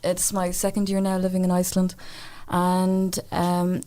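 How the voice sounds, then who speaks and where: A woman's voice: on the low side, completely flat delivery, a little slow, dark tone. A woman, a musician guest talking earnestly in a radio studio. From Ireland. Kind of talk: casual interview chat.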